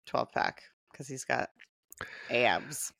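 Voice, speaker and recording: A woman's voice: rumbling low, slow, vocal fry, a bit bright, no echo. A woman co-hosting a podcast, chatting casually and giggling between remarks over a remote call. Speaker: podcast co-host. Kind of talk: casual chat recapping a reality show. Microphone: remote call microphone.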